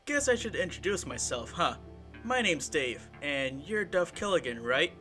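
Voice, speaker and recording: A man voicing a confident, mischievous eighteen-year-old boy, breezy and teasing, over loud background music. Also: on the high side, sing-song, moderate pace, pitched above the performer's own voice. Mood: cocky. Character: cocky confident teenage boy hero, mischievous.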